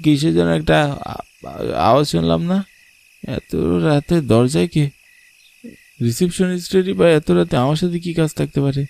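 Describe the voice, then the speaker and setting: A man's voice: a little high, measured pace, creaky, long pauses, a little mellow, pitched above the performer's own voice. A man voicing a frightened, bewildered young city man in a horror cartoon, anxiously questioning what is around him. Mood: puzzled, sleepy. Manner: anxious, questioning, talking to himself or mother. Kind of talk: Bengali horror cartoon character acting.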